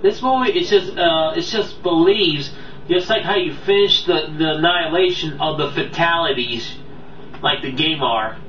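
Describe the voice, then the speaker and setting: A man with a forceful, bright voice hosts an unscripted review, addressing viewers casually. He rambles and halts as he goes. The sound is echoey and a little distant from the mic. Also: a little high. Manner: rambling, halting, often losing track. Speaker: video countdown host and reviewer. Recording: roomy, distant, dull mic, faint noise.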